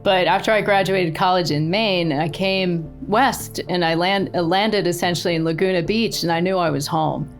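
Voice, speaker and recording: A middle-aged woman with a somewhat low voice, chatting as a podcast guest. She tells her angling stories with eager energy and laughs easily. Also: normal speed, few pauses, faint music behind. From born in Australia, raised in the eastern United States. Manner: enthusiastic storyteller, laughs often. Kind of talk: casual interview chat.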